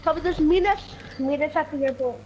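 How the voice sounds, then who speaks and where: Casting: high-pitched gamer as urgent military radio operator, repetitive calls, clearly noisy recording. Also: fairly quick, darkish tone, highs cut off. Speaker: player in a zombie roleplay. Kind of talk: improvised military radio roleplay. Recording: noisy home gaming mic.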